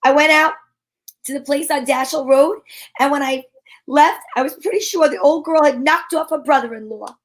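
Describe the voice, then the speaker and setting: Woman acting as female private eye, high voice, breezy, slangy, wisecracking, old-time radio drama. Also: normal speed, close to an everyday voice. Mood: wry, explaining. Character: wisecracking, sharp-witted female private detective.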